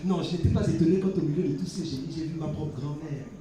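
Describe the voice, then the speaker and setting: A man reciting slam poetry as a dramatic live performance, declaiming with swings between forceful projection and hushed intimacy. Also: on the high side, fast talker. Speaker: slam poet. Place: live poetry competition venue. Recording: roomy echo, distant mic, faint noise.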